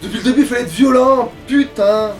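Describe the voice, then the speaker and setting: A man's voice: very high-pitched, a little fast, distant mic, soft background music. A man giving casual, crude and joking commentary while he plays a video game. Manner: informal, crude swearing, joking. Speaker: player and host of a gaming let's play.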